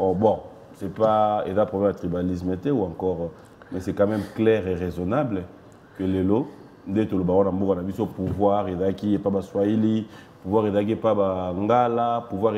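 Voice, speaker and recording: A middle-aged host from Congo interviews a guest on a TV studio set, pushing his questions again and again. His voice is mellow, with a faint hum in the room behind him. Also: lowish voice, fairly even intonation, moderate pace. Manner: probing, pressing questions. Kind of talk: studio interview mixing French and Lingala.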